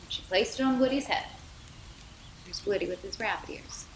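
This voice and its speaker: A woman reading a children's bedtime story aloud as a mother would, in an expressive, theatrical way. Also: medium pitch, moderate pace. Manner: animated, theatrical, with dramatic whispers and asides. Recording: roomy echo, clear background noise.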